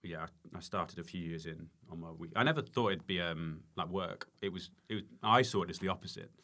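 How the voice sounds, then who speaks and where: A man talking casually as an interview guest, halting and self-correcting, repeating words for emphasis. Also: low-pitched, sharp tone. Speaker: podcast guest, stand-up comedian and former teacher.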